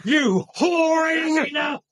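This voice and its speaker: An actor playing an impatient, aggressive thug who threatens a captive in a radio drama. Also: male voice, very high voice, lively intonation, extremely slow, a bit bright, shouting, heavily acted. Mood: menacing, impatient. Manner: aggressive, snarling.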